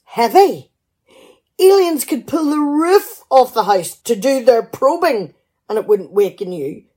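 Animated, husky, exasperated wife played by a woman. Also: on the high side, unhurried. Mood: sarcastic, exasperated. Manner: exasperated, sarcastic scolding. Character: nagging, exasperated, sharp-tongued middle-aged wife. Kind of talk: radio play acting.

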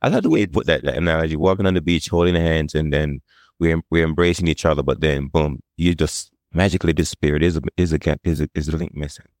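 Brief: man co-host, very deep voice, quick joking delivery. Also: no echo, studio quality. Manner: joking and playful. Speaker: podcast co-host. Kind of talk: casual podcast conversation.

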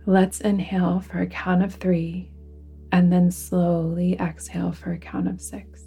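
A woman guiding a relaxation meditation in a soothing, deliberate manner, with phrases that sometimes fall to a hush. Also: on the low side, little pitch movement, creaky, slightly rough, soft background music. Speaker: yoga nidra guide. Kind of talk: guided relaxation meditation narration.

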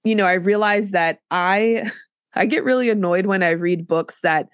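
A woman's voice: medium pitch, moderate pace, clean tone, no echo, studio quality. A woman hosting a podcast, stuffy from a cold, talking casually with lots of fillers. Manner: chatty, many fillers and self-interruptions. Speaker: podcast host writing a book.

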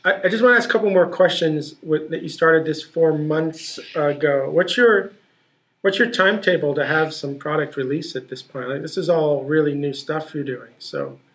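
An eager male podcast host with a voice somewhat higher than usual. He talks in a casual way and stumbles over repeated words as he gets into his questions. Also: moderate pace, fairly bright tone. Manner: eager, repeats words when starting sentences. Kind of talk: casual interview hosting.

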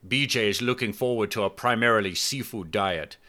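A man's voice: lowish voice, a little fast, pushed and bright. A man voicing an easygoing, good-humored retired male captain in audiobook dialogue. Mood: amused.

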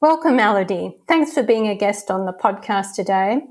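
A woman hosting a podcast, reading a measured, formal introduction and then asking questions in a friendlier tone, recorded over a phone call. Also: mid-range voice, animated, darkish tone, soft background music. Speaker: podcast host. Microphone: phone over a messaging-app call.

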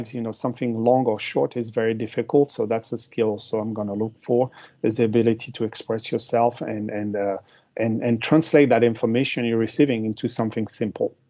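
A man's voice: medium pitch, gravelly, mellow. A man with a French accent, a marketing executive answering interview questions with many hesitations and restarts.

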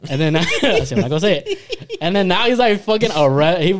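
A man co-hosting a casual podcast, joking and excitable, with frequent swearing. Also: high-pitched, normal speed. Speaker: podcast co-host.

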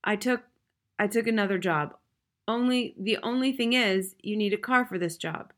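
A woman voicing a sheepish young son, talking low and hesitant. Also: moderate pace, fairly bright tone, lightly acted.

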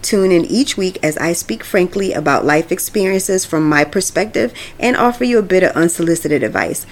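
A woman's voice: lowish voice, moderate pace. A woman reading the upbeat scripted introduction to her own podcast. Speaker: podcast host.

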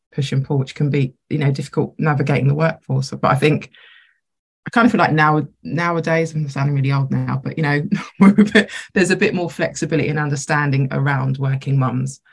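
A woman co-hosting a casual podcast chat, her voice croaky today as she thinks aloud in a reflective way. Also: low-pitched. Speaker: podcast co-host.

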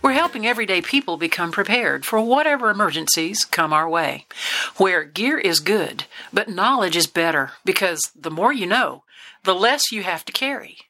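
A woman's voice: mid-range voice, expressive, normal speed, pushed and bright. A woman reading a scripted podcast introduction as the announcer.

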